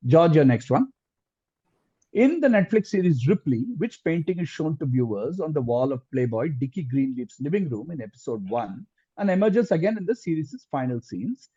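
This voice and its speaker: A man's voice: fairly high pitch, very animated, moderate pace, warm tone, breathy edge. A man working as quizmaster, reading out questions formally in an online quiz, on lo-fi call audio.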